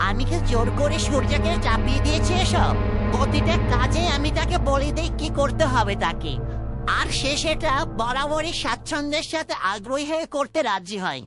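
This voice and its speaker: A woman acts out a stern cartoon village father. The voice is high and speaks in firm, commanding statements. Music plays clearly behind it. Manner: firm, declarative scolding. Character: stern proud village father, secretly soft-hearted. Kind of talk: cartoon dubbing.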